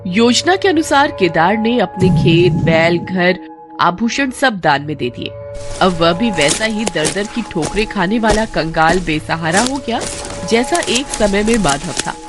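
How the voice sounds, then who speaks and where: Woman reading a kids story, really animated voice, music playing. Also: mid-range voice, measured pace. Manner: expressive, storybook telling. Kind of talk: scripted narration of a kids story. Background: background music.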